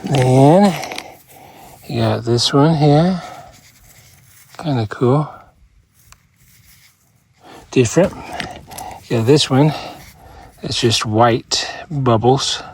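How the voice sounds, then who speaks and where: Gravelly, slow-talking man outdoors. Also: medium pitch, a little hiss or hum. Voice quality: gravelly, husky. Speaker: rockhounding vlogger. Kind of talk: casual outdoor show-and-tell. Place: outdoors on open hilly ground.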